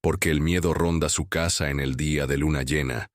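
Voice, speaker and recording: A man with an extremely deep, low voice narrates horror tales in a grave, measured way. The recording is dry and close, with no audible room. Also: steady tone, dry recording. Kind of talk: scripted horror storytelling.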